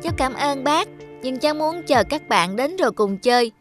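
A woman's voice: high voice, lively intonation, moderate pace. A woman voicing a trusting, innocent little boy in a cartoon, earnest and childlike. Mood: polite, wistful. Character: trusting, innocent little country boy missing his father. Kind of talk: cartoon dubbing. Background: music.

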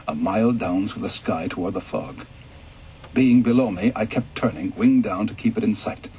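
A man reading a ghost story aloud for radio in a measured, calm way, going soft and low in the reflective passages. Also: a little hiss or hum, low-quality recording. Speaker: story reader.

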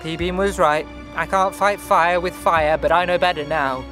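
A man's voice: a little high, fairly even intonation, measured pace, music in the background, close to an everyday voice. A man voicing a gloating, bumbling bird-bee supervillain in a cartoon, boastful and melodramatic. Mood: scheming. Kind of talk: hammy cartoon villain acting.

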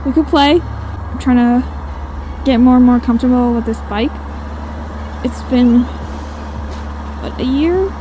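A woman's voice: high voice, unhurried, pauses often, dark tone, rough recording. A woman in her twenties chatting casually and rambling while riding a motorcycle, with a giggly, friendly manner. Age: 20s. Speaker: motovlogger. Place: outdoors on a moving motorcycle. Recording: wind and engine noise.

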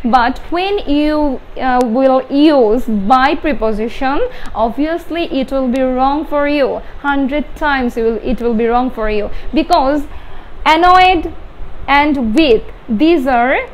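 High-pitched young Bangladeshi woman teaching slowly. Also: low-quality recording. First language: Bengali. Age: young adult. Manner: halting, repetitive, emphatic on key words. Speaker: English grammar teacher. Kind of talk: whiteboard grammar lesson, explaining step by step. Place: small indoor room with whiteboard. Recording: steady background room noise.

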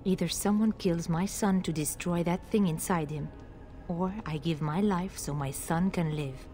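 A woman's voice: on the low side, normal speed, dark tone, faint music behind, natural voice. A woman playing an anguished, resolute noblewoman mother in a fantasy video game, grave and pleading in formal speech.

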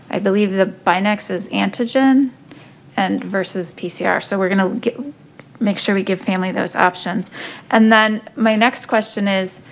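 A woman on a school board, thinking aloud while she asks questions in a public meeting. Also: medium pitch. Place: council chamber.

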